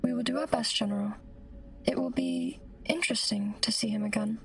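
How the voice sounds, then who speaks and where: A woman acts as a reserved female soldier in an audio drama. Her voice is fairly high with a gravelly creak, polite and dutiful, over faint background noise. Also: fairly even intonation, brisk. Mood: resolute. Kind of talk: scripted audio drama acting.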